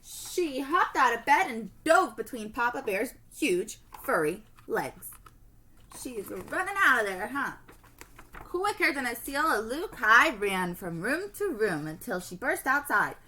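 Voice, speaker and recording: Animated, higher-pitched woman reading aloud. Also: measured pace, slight background noise. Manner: expressive storytelling to children. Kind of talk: children's storybook read-aloud.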